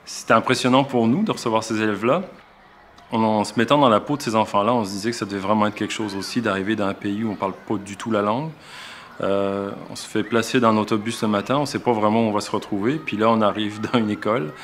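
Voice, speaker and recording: A male schoolteacher with a Québécois accent and a fairly low voice speaks in a documentary interview. He explains step by step and often restarts his sentences. Faint room ambience sits under his voice. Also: fairly even intonation, fast, fairly bright tone, a little hiss or hum. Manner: reflective, explains with frequent restarts. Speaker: elementary school teacher.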